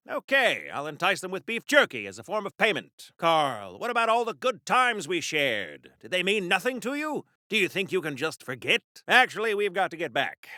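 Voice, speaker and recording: A man's voice: high-pitched, sharp tone, dry recording, studio quality, exaggerated voice. A man playing a chatty, pompous wartime comic-book hero in a video game, with flowery diction in a theatrical 1940s radio serial style. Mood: earnest.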